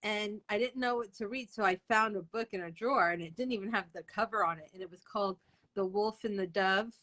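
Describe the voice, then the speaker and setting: Livestream interview host: female, forties, mid-range pitch, informal and chatty, wanders off on tangents. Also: brisk, a bit bright. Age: 40s. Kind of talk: casual livestream chat.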